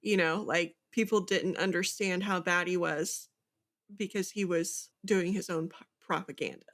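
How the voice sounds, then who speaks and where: A woman's voice: lowish voice, steady tone, a little slow, fairly bright tone. A woman co-hosting a casual book discussion, thinking aloud and often checking that the listener follows. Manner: speculative, thinking aloud with rhetorical check-ins. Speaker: podcast co-host.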